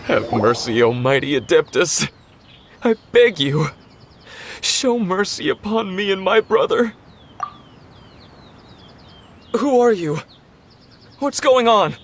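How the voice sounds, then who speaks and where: A man's voice: high voice, very animated, unhurried, pauses often, slight background noise. An actor playing a poor, humble young male treasure hunter in a fantasy game, fretful and fawning as he pleads. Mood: pleading, bewildered. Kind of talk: dramatic fantasy game voice acting.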